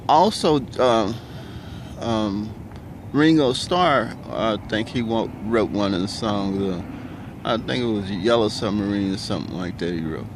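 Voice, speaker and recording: A middle-aged man with a baritone voice, talking in a casual, rambling monologue full of ums and restarts. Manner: hesitant, many ums and restarts, loose and conversational. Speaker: vlogger. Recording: clear outdoor traffic noise. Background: cars and street noise.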